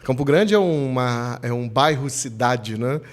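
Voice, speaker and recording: Lively Rio host, emphatic delivery. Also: male voice, mid-range voice. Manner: lively, emphatic presenter delivery with drawn-out openings. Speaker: podcast host. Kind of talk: school podcast interview.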